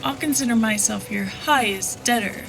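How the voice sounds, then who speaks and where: A woman playing a sly pilot and hunter for hire, with a deliberate, theatrical delivery in an audio drama. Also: fairly high pitch, vocal fry, noisy background. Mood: wry, bold.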